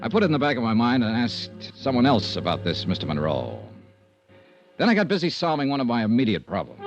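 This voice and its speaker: An American actor playing a dogged, cool insurance investigator in 1950s radio detective style, clipped and dry. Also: male voice, medium pitch. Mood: wry, matter-of-fact. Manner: clipped, dry, persistent questioning. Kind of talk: 1950s radio detective acting, first-person narration. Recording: dull vintage radio recording.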